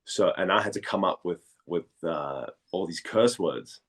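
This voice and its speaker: A man in a casual interview, telling stories in a chatty, halting way and often rephrasing as he goes. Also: sits low. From Denmark. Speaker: voice actor and model, interview guest.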